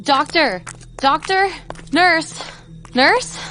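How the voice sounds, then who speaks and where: A woman playing an impatient, practical young wife in an audio drama, with a brisk, exasperated, no-nonsense manner. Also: high-pitched, animated, over music, heavily acted. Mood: alarmed, baffled. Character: impatient, practical, sharp-tongued wife on a road trip.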